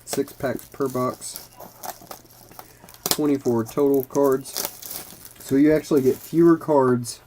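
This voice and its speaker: Congested male card-break host, slow and mellow, casual delivery, faint noise floor. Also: mid-range voice, steady tone, poor audio. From Kentucky. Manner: unhurried, reads player names as cards flip. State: congested, recovering from bronchitis. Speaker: card-opening video host. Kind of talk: casual card-ripping commentary.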